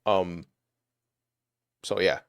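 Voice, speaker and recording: A man with a low, gravelly voice talks casually into a computer mic during a live stream. He speaks in a dry, close sound with no room echo. His answers to viewers are emphatic and conversational. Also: steady tone, very slow. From Toronto, Canada.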